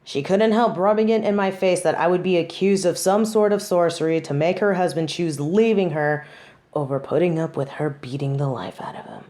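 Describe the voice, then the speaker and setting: A woman playing a snobby, wry tsundere who judges others, in an intimate scripted audio roleplay with deliberate, dramatic delivery. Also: lowish voice, mellow, close to an everyday voice. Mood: wry, smug.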